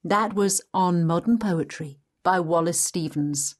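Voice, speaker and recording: A woman's voice: lowish voice, measured pace. A woman playing a welcoming guide through history, delivering scripted educational narration in an explanatory way. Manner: clear, explanatory.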